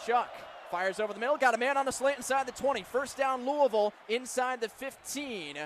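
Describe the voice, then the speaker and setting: A man doing live football play-by-play for radio, giving crisp, detailed calls of each situation. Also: very high-pitched, brisk. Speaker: play-by-play announcer. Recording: clear stadium noise behind.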